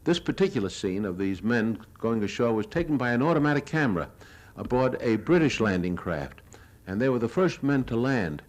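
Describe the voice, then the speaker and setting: An older American man giving a lecture, narrating his own war film in a measured, plain-spoken, reminiscing way. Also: mid-range voice, big pitch swings, rough recording. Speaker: newsreel reporter giving a lecture. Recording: 1970s archival lecture audio recording.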